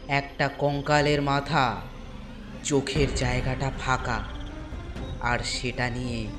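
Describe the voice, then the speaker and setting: Slow-paced male horror story narrator. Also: mid-range voice. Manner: deliberate, dramatic pauses.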